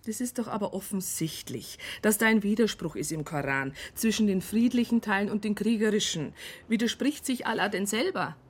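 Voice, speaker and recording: A woman's voice: mid-range voice, lively intonation, fairly quick, husky. A woman playing an educated police psychologist in a radio crime drama, with a Bavarian accent and an earnest, wry, argumentative way of talking. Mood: earnest, challenging. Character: female police psychologist, educated, earnest, probing, wryly narrating.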